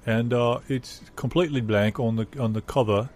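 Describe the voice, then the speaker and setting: A man playing a polite, earnest gallery host in improvised comedy, speaking formally and haltingly as he shows off exhibits. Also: medium pitch, fairly even intonation, darkish tone, lightly acted. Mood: earnest salesmanship.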